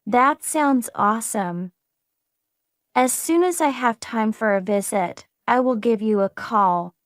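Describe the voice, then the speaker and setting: Woman reading a mid-pitched friendly speaker, unhurried delivery, dry close recording, practice dialogue. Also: no room sound. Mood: plain. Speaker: dialogue partner in English practice. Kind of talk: slow scripted practice dialogue.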